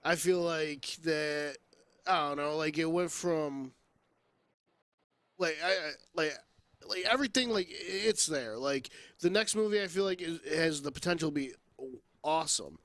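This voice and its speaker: A male podcast panelist with a somewhat high voice and a dry, close sound. He gives his opinions casually, in a halting flow full of filler "like". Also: fairly bright tone, no echo.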